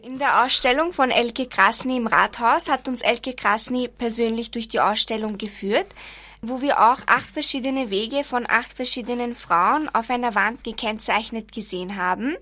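Teen girl, student reporter, steady reading, bright, slightly high voice. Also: moderate pace, faint music behind. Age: teens. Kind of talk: reading a prepared report on radio.

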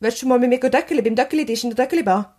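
A woman's voice: on the high side, rapid-fire, a little mellow, pitched above the performer's own voice, lightly acted. A woman with a Swiss German accent voicing a shy, tender bat in a children's story. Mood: eager, nervous. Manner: bashful.

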